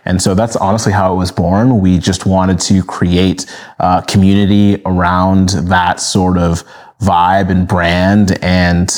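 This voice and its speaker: A man in his thirties from Nigeria, raised in Minnesota, answering interview questions in a relaxed, steady storytelling way. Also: low-pitched. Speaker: guest, media brand co-founder.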